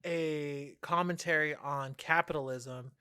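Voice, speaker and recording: A man's voice: on the high side, fairly even intonation, measured pace, pushed and bright, smooth, dry recording, studio quality. A man co-hosting a casual brainstorming chat, speaking informally and emphatically with some swearing. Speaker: podcast co-host.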